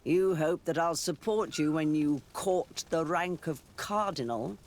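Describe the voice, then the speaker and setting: A man playing a shrewd, sardonic political rival in a video game, speaking in a deliberate, knowing way. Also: on the high side, a little hiss or hum. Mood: accusing. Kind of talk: video game cutscene acting.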